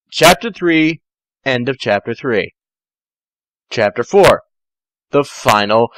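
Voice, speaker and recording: A man's voice: medium pitch, pushed and bright, no echo, low-quality recording. A man reading a story aloud informally, theatrical and often stumbling or correcting himself. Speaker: amateur fan fiction reader.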